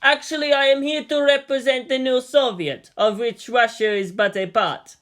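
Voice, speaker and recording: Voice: high-pitched, steady tone, normal speed, a bit bright, voice pushed up. A narrator voicing an overly cheerful, courtly male diplomat, effusive and formal, in a story reading. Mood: formal, grateful.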